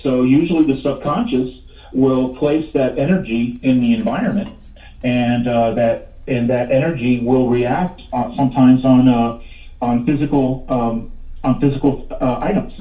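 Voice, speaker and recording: A man's voice: mid-range voice, steady tone, far from the mic. Tinny, echoey phone-line man in his fifties. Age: 50s. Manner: halting, rambling, repeats words. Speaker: radio show guest, paranormal investigator. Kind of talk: casual interview storytelling.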